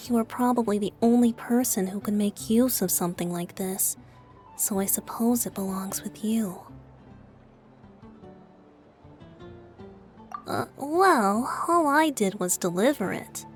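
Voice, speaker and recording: A woman's voice: on the high side, lively intonation, normal speed, soft background music, close to an everyday voice. A woman voicing a boyish young male bard for a video game fan dub, playful and theatrical with a teasing lilt.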